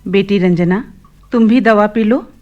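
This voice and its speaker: Actress as a gentle, caring mother. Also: mid-range voice, measured pace, highs cut off, soft background music, lightly acted. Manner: gentle, polite, solicitous. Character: caring, polite mother of an ailing daughter. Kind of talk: radio play acting.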